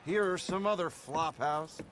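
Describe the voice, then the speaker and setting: A man's voice: fairly high pitch, noisy background, close to an everyday voice. A man playing an eager nervous writer. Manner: excitable, earnest, talks fast in bursts. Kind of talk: video game cutscene acting.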